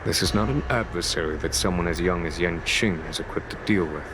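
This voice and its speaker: A composed middle-aged general and sword master played by a man, pitched low. He speaks with measured, formal calm in video game style, over clear background noise. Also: close to an everyday voice. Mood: wry calm. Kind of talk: game voice acting.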